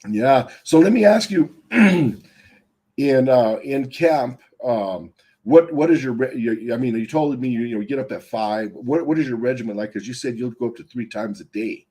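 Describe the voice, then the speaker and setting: Guy hosting a chat, warm husky voice, easygoing and agreeable, lots of um and uh. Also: mid-range voice, expressive. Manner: affable, agreeing, drawn-out fillers. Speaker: interview host, gym owner. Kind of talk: casual interview chat.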